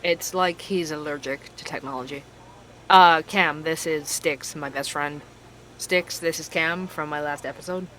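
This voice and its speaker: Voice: mid-range voice, fairly even intonation, measured pace, bright, forceful tone. A performer playing a curious, wry podcast investigator in an urban fantasy audio drama, conversational and friendly, with faint ambience behind. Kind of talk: audio drama dialogue and narration. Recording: faint background noise, ambience.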